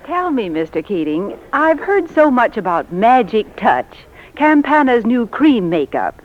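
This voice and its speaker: A woman's voice: on the high side, sing-song, a little slow. A woman asking scripted questions as a guest in a 1940s radio advertisement. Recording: muffled old 1940s radio recording.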